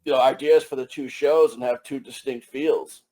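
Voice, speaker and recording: A man's voice: mid-range voice, fairly even intonation, moderate pace, warm tone. A male journalist asking a long-winded, hesitant question on a press conference call. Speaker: wrestling journalist.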